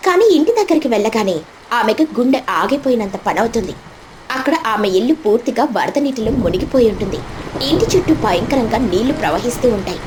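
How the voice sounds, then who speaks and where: A woman reading a scripted children's story as storyteller, with dramatic, expressive delivery. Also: a little high, fairly quick, noisy background. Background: faint background music.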